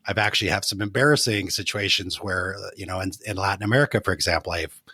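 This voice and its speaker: A man's voice: deep voice, fast talker, sharp tone, breathy edge, no room sound, clean studio sound. A man hosting a travel podcast, candid and playful in a casual interview, often acting out lines he quotes. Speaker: podcast host.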